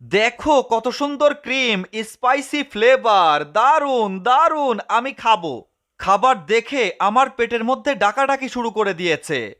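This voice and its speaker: A man's voice: extremely high pitch, lively intonation, a little slow, bright, forceful tone, full character voice. A man voicing a whiny, melodramatic, hungry male cat in a cartoon dub. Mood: greedy, excited. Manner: melodramatic, exclaiming.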